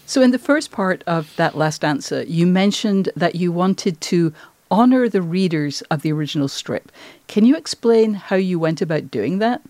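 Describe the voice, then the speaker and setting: A woman with a voice a little on the low side hosts a podcast interview. She puts her questions and comments conversationally, as an interviewer. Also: expressive, moderate pace, warm tone.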